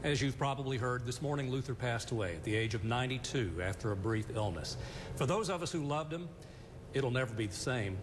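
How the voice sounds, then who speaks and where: A man's voice: medium pitch, animated, background noise. Man anchoring a TV news tribute. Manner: warm, measured tribute delivery. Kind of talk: broadcast news narration.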